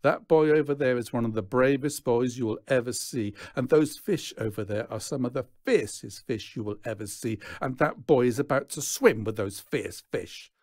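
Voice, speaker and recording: Smooth, teasing showman voiced by a man. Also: mid-range voice, moderate pace, hardly any pauses, darkish tone, no echo, clean studio sound, close to an everyday voice. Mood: coaxing, confident. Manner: coaxing, confident. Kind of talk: children's storybook character voice.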